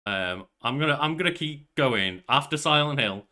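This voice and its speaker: Bright, forceful young man chatting. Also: medium pitch, expressive, moderate pace. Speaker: podcast co-host. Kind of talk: casual movie chat. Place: indoor room, on a video call.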